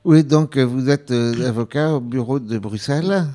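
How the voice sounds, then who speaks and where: A man's voice: medium pitch, normal speed, dark tone. A man hosting a radio show, welcoming a caller with short interjections. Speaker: radio host.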